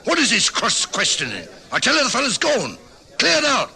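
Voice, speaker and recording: Hostile, blustering husband with a voice; high, bright and swinging. Vintage radio drama. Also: male voice, wildly swooping pitch, normal speed, vocal fry, exaggerated voice. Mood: angry. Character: belligerent pub-drinking husband, surly and defensive. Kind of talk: 1960s radio drama acting.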